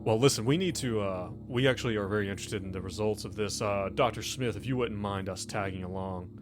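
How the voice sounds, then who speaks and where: A man's voice: fairly low pitch, fast talker, lightly acted. Guy acting as an officious federal agent in a tabletop game, guarded, music playing behind him.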